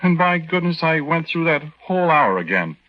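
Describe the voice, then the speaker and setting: Flustered, stammering young American private. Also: male voice, a little high, big pitch swings, normal speed. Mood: confiding, agitated. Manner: hesitant, stammering, folksy mild exclamations. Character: meek, flustered young married army private, earnest everyman. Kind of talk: 1940s radio drama acting, confiding first-person narration. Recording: dull, band-limited 1940s radio recording.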